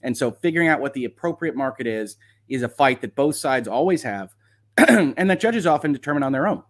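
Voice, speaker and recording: A man's voice: mid-range voice, lively intonation, a little fast. A man hosting a livestream of legal commentary, talking casually and reading aloud with wry, sardonic asides.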